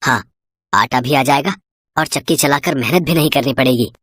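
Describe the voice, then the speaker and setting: A man voicing a poor, hardworking village miller in a cartoon story, husky and dramatically exclaiming. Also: mid-range voice, moderate pace, a bit bright, no room sound, lightly acted. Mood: amazed, delighted.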